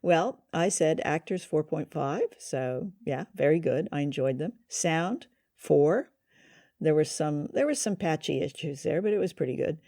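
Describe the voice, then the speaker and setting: A woman's voice: low voice, animated, a little slow, dark tone, dry recording, clean studio sound. A woman co-hosting a review show in casual, informative conversation. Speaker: co-host of an old-time radio review show.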